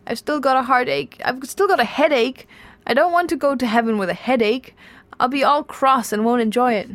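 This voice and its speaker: A woman's voice: medium pitch, normal speed, no echo, close to an everyday voice. A woman voicing a hapless, flustered human man in a storybook, spluttering and complaining. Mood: wild protest.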